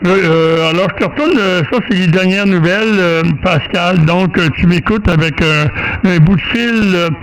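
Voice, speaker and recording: A man with a Quebec French accent chatting casually as a radio operator, folksy and halting, often repeating words. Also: high voice, low-quality recording. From Quebec, Canada. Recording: faint radio noise.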